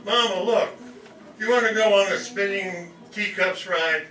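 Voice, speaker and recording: Man as a bright-voiced, patient son. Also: high-pitched, a little slow, faint music behind. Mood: eager. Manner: explaining, placating. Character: assimilated, patient, eager grown son of immigrant mother. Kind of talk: comic radio play acting. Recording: distant roomy mic, faint noise.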